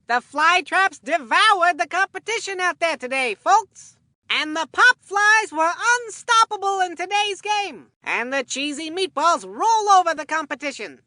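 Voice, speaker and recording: A woman's voice: very high voice, sharp tone, dry recording, studio quality, heavily acted. A woman playing a peppy cartoon baseball play-by-play commentator in a video game, with a punchy announcer's delivery. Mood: excited. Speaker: sports commentator. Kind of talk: scripted sports game commentary.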